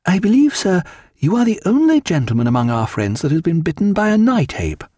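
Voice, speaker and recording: A man's voice: sits high, big pitch swings, a little fast, mellow, no room sound, studio quality, pitched above the performer's own voice. A man voicing a vivacious, elegant upper-class wife with an exclamatory, refined period manner in an audiobook. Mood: bright, gracious.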